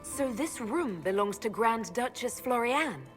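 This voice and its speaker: A woman's voice: fairly high pitch, animated, unhurried. A woman playing a composed female elf mage who leads others in a fantasy game, direct, questioning and controlled. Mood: composed, probing. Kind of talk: fantasy game cutscene acting. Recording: music behind the voice.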